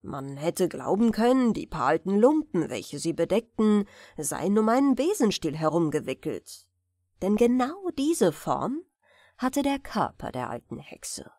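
A woman narrating a fairy-tale audiobook in an expressive, deliberate storytelling manner. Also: medium pitch, no echo, studio quality.

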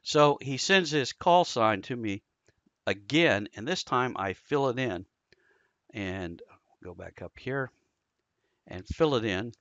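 A man's voice: medium pitch, lively intonation, takes it slowly, pauses often, a bit bright, no echo, dull audio. An American man giving a casual screen-recorded tutorial, explaining informally step by step. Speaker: amateur radio instructor.

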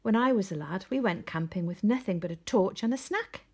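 Woman as a hearty, husky father penguin. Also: mid-range voice, expressive, fairly quick, darkish tone. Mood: amused. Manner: hearty, reassuring, no-nonsense. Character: firm, cheerful, reassuring father penguin.

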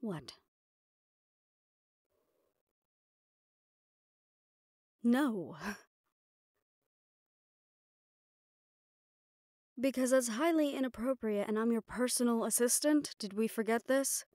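A woman's voice: fairly high pitch, expressive, normal speed, darkish tone, no echo, natural voice. A woman playing a sardonic, capable personal assistant to a mafia boss in an intimate audio roleplay, speaking in a dry, familiar, businesslike way. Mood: firm. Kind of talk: intimate scripted audio roleplay, one-sided dialogue.

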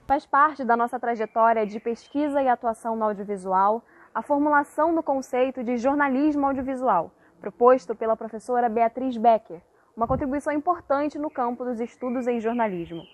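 A young woman, a student reporter, presenting a scripted segment to camera in a measured, deliberate way, recorded on a wired earphone mic. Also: fairly high pitch. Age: young adult.

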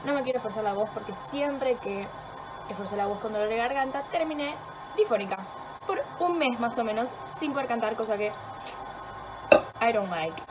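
A woman's voice: a little high, expressive, moderate pace, noisy background. Chatty stuffy-nosed Argentine young woman. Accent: Rioplatense Spanish. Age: young adult. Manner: chatty, rambling and self-mocking, swears freely. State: sore throat and stuffy nose. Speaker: vlogger. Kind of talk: casual vlog chat. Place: home kitchen, indoors.

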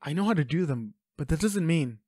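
A man's voice: on the high side, lively intonation, fairly quick, darkish tone, no room sound. A man playing an anguished, protective werewolf boyfriend in an audio roleplay, speaking with urgent, pleading emotion. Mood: worried, insistent. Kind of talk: intimate dramatic roleplay acting.

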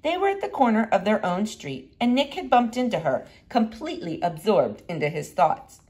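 A woman reading a children's storybook aloud in an expressive way with deliberate emphasis. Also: medium pitch. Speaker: reader.

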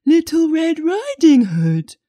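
A woman voicing a wicked male wolf who fakes a soft, sweet, coaxing voice. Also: high voice, wildly swooping pitch, slow, no room sound, studio quality, pitched above the performer's own voice, heavily acted. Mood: falsely sweet.

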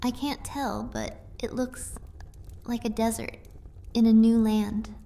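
A woman playing a mysterious young vampire fortune-teller from the East in a hushed, intimate fantasy audio drama. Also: a little high, flat delivery, slow pace, a little hiss or hum. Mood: hushed, entranced. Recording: music behind the voice.

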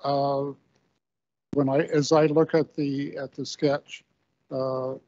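A man resident speaking at a council hearing without a microphone, halting and plodding. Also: medium pitch, flat delivery, a little mellow, dry recording. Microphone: no microphone, far from room mic. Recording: distant voice with no mic, dull.

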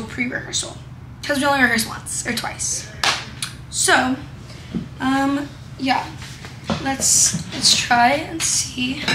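Raspy eighteen-year-old woman vlogging. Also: high voice, background noise. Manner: chatty, lots of "like" and "um". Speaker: vlogger and singer. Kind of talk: casual vlog chat to camera. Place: small bedroom, then inside a car. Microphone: handheld camera close to face.

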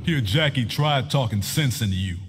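A man's voice: mid-range voice, animated, normal speed, over loud music, lightly acted. A man playing a blunt, tough-talking soldier father in a fighting game. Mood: stern. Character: gruff protective soldier father, middle-aged.

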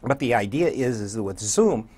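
A man in his sixties with a husky voice talks casually as a studio interview guest. His intonation is lively and animated, and he wanders through his stories at an easy, conversational level. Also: medium pitch, normal speed. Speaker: radio program director, guest. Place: small TV studio, indoors.

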